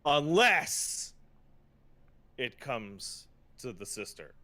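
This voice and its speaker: A man's voice: mid-range voice, very animated, extremely slow, sharp tone. A man co-hosting a podcast, chatting casually about video games in a blunt, profane, emphatic way. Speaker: podcast co-host.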